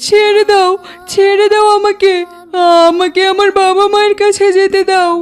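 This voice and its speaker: A frightened captive child in a cartoon. The pitch is extremely high and stays level. The child begs desperately. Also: female voice, little pitch movement, moderate pace. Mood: desperate pleading. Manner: desperate, pleading. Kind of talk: cartoon dubbing.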